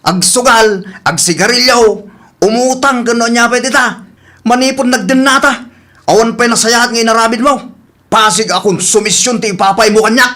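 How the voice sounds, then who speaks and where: A man's voice: extremely high pitch, moderate pace, pushed and bright. Man acting an exasperated, complaining husband. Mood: fed up, complaining. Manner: complaining, incredulous questioning. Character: exasperated husband fed up with his spendthrift wife. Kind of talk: Ilocano comedy radio drama acting.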